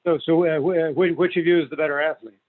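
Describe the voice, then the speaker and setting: A man's voice: a little high, fairly even intonation, fast. A male reporter asking conversational questions, stammering and repeating words as he goes. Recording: thin narrowband phone line.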